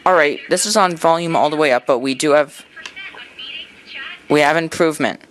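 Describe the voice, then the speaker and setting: A man's voice: fairly high pitch, normal speed, a bit bright. A man talking casually while he restores an old radio, thinking aloud and making chatty asides as he works. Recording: noisy workshop recording.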